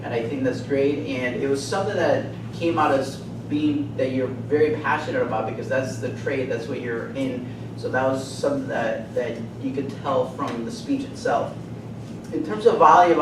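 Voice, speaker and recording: Soft-voiced man evaluating, distant and roomy. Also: a little high. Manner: earnest, halting, repeats words. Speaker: speech evaluator at a public speaking club. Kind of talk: spoken speech evaluation. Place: indoor meeting room. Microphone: distant room mic. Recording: roomy, distant, noisy room recording.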